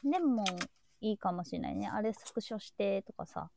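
A woman's voice: medium pitch, animated, a little fast, vocal fry, noisy background. A woman hosting a live show in casual, unscripted chat.

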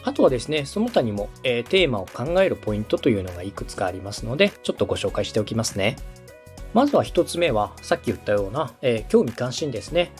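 A man's voice: mid-range voice, sing-song, quick pace, soft background music. A man hosting a podcast, explaining step by step in a polite, instructive coaching style. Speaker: podcast host and radio director giving advice.

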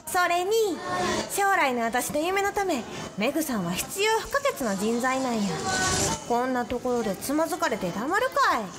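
Actress as a pushy teasing schoolgirl, kansai accent, high swoopy voice, some noise behind her. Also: big pitch swings, moderate pace. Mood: passionate. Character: brash, generous, passionate high school girl, teasing friend. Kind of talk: Japanese anime-style radio drama acting.

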